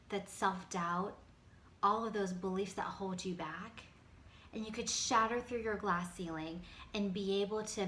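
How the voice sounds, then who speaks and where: A woman's voice: mid-range voice, a bit bright. American woman in an echoey room. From United States, Pennsylvania. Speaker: motivational coach and speaker. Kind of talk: motivational personal storytelling talk. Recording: echoey room with faint background noise.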